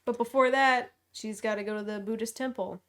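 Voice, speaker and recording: A woman's voice: a little high, steady tone, brisk, fairly bright tone. A woman co-hosting a podcast, chatting casually and chiming in with short wry remarks about a film. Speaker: podcast co-host. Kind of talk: casual chat.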